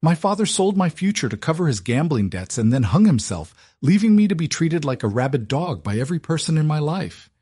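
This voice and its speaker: A man voicing a guarded, cynical young noblewoman who talks briskly and erupts in fury. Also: lowish voice, expressive, a little mellow, slightly rough. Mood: furious. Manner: brisk and clipped, breaking into furious outbursts.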